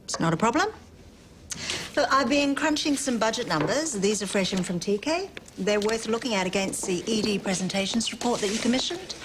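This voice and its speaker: A woman's voice: on the high side, animated, noisy background, lightly acted. A woman playing a blunt, sarcastic hospital manager. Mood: businesslike. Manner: brisk, blunt, dry and sarcastic. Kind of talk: television soap drama acting.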